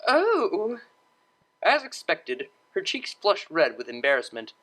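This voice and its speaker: Bright, expressive woman narrator. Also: very deep voice, moderate pace. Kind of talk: scripted story narration.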